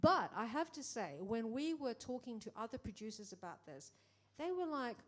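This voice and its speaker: Animated woman producer telling a story. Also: fairly high pitch, normal speed. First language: English. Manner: animated, acts out quoted dialogue, many restarts. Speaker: film producer. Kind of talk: panel conversation.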